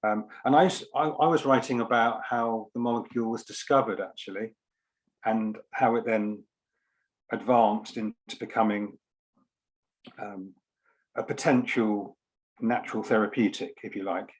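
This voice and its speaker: A man reading poetry and introducing it in a measured, deliberate way. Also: lowish voice, steady tone, rough recording. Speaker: poet reading at a book launch. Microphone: online video call.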